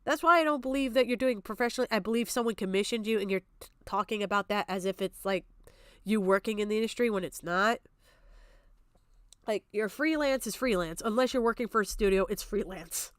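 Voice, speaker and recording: A woman's voice: medium pitch, brisk, a bit bright, no echo, clean studio sound. A woman giving off-the-cuff, argumentative stream commentary in a blunt, exasperated, sweary manner. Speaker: streamer reacting to a video.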